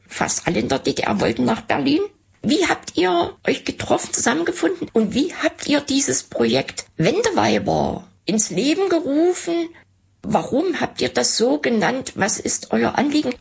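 Mature female, extremely creaky and raspy, highly animated intonation, informal questioner. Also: moderate pace. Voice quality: husky, gravelly. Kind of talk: casual conversational questioning.

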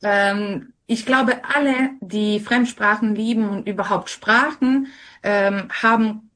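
Slow-speaking woman. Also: mid-range voice, fairly bright tone. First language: Serbian. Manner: hesitant, searching for words. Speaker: interview guest. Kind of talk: conversational interview answers.

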